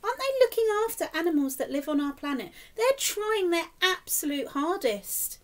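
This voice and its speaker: A middle-aged woman reading a picture book aloud to young children, expressive and encouraging, often putting questions to them. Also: very high voice, moderate pace. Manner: expressive, exaggerated, encouraging, speaking as to small children. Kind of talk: animated children's storytime reading with questions to the listeners. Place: small indoor room, plain wall.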